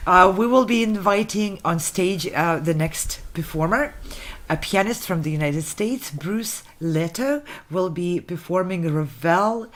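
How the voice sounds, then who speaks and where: A woman whose first language is Russian, moderating a music jury, giving gracious feedback with many pauses and fillers. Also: fairly low pitch. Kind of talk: panel feedback and announcing.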